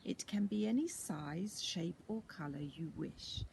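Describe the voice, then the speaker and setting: Woman voicing a courteous hat-shop keeper, animated intonation. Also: on the low side, unhurried, creaky, slight background noise. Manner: polite, formal shop patter.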